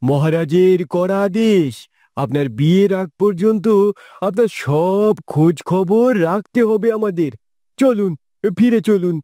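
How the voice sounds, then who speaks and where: A man's voice: sits high, a little slow, dark tone, dry recording, studio quality. A man voicing a worried, dutiful royal guard in a fairytale cartoon, deferential and anxious.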